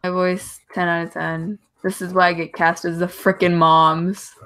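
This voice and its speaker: A woman's voice: fairly low pitch, flat delivery, normal speed, fairly bright tone. A young woman hosting a live stream, chatting casually and teasing her friend. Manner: teasing, joking, laughs often. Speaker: stream host. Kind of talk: casual chat.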